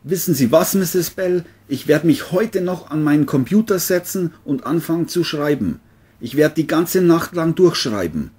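A man playing a crime novelist in an amateur radio play, speaking in a formal, deliberate manner. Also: on the high side, animated, darkish tone, a little hiss or hum, close to an everyday voice. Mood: casual, obliging. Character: boastful male bestselling crime novelist. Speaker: writer and narrator of his novel.

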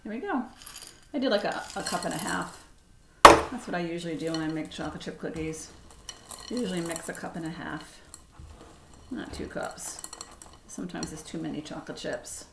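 A middle-aged woman giving a relaxed, chatty cooking demonstration in her home kitchen, talking through each step as she mixes. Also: on the low side, normal speed, vocal fry, long pauses, noisy background. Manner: relaxed, chatty step-by-step instructions while mixing. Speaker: cooking instructor. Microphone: fixed camera across the counter. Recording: echoey kitchen with clattering dishes.

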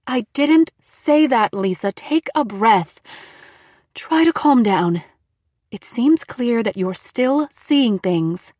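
A woman puts on a middle-aged mother for an audiobook. She is worried, doubting and bossy, with an insistent, questioning delivery on a somewhat high voice. The recording is very dry. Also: animated, takes it slowly, a little mellow, no echo. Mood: firm, calming.

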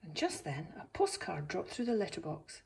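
A woman reading a picture book aloud to young children as its author, expressive, with gentle asides. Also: fairly low pitch, moderate pace, gravelly, slight background noise.

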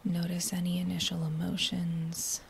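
A woman guiding a body scan meditation in a soft, breathy near-whisper, with a gentle, soothing manner and unhurried phrases. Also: on the low side, monotone. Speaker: meditation guide. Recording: faint background noise.

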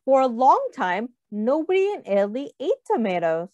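A woman teacher reading a picture book aloud to children, pausing to ask them questions and putting on small voices for the characters, speaking into a webcam. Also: high-pitched, dry recording, dull audio. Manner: animated, asks children questions, does little character voices.

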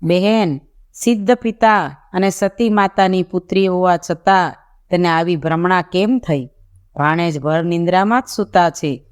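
A narrator plays a saintly royal man from a devotional storybook, a protective brother. He is caring and gently commanding, with a clear, resonant voice at medium pitch, and slips into verse. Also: unhurried, close to an everyday voice. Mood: reassuring.

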